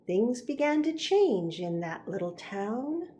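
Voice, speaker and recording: A woman narrating a children's picture book, expressive and deliberate, pausing for emphasis. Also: fairly high pitch, a little hiss or hum. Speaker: reverend reading a picture book.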